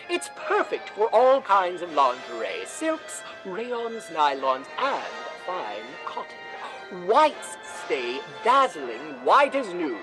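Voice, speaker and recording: A man playing a polished 1950s radio announcer, formal and emphatic like a pitchman. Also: full character voice, low-quality recording. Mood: selling. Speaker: announcer and host.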